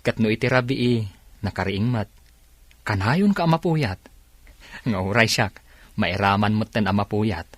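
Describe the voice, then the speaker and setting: A grumbling young husband in a radio soap. His voice is lowish and husky, sceptical, recorded close and dry. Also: lively intonation, measured pace, pauses often, no echo. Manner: complaining, sceptical. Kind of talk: Ilocano radio drama acting.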